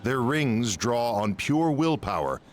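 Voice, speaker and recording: A man's voice: mid-range voice, very animated, slow pace, soft background music. An actor playing an earnest, resolute male superhero leader giving firm mission briefings in a video game. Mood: firm resolve.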